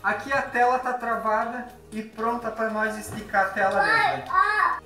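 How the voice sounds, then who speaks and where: A man's voice: extremely high pitch, lively intonation, normal speed, pushed and bright. A man in his thirties from Brazil, demonstrating a job in a large workshop while he works, explaining plainly with frequent tag questions. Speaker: window installer. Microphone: phone camera nearby. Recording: echoey workshop with background music.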